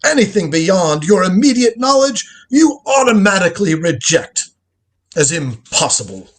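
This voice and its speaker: A crotchety old inventor, acted by a man in a radio play, proud and imperious. The voice is high and husky, with theatrical swings in pitch as he lectures like a grand old grandfather. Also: big pitch swings, normal speed. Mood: proud, lecturing. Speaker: lead actor in a live script reading. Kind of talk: live reading of a radio drama script.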